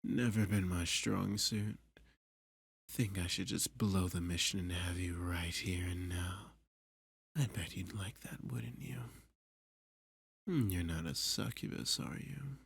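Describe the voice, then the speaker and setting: Villain kidnapper played by a male voice actor, ASMR roleplay: slow, gravelly, seductive and possessive, often whispering close and dry. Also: low voice, no echo, exaggerated voice. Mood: impatient, flirtatious. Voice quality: breathy, husky, often dropping to a whisper. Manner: slow, teasing, seductive, with pet names. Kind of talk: ASMR roleplay addressed to the listener.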